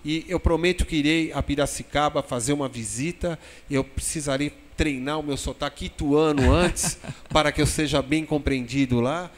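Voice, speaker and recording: A man's voice: fairly high pitch, moderate pace. Echoey São man hosting. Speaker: host of a web TV programme. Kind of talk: conversational interview hosting. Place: glass studio inside a shopping mall. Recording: long reverb of a hall-like room.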